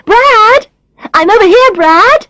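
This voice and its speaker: A woman's voice: very high-pitched, expressive, moderate pace, darkish tone, dry recording, clean studio sound, pitched above the performer's own voice. A woman voicing a haughty, disdainful, glamorous woman with an affected manner, as an audiobook character. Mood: loud calling. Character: haughty, bitchy glamorous woman, loud and bored.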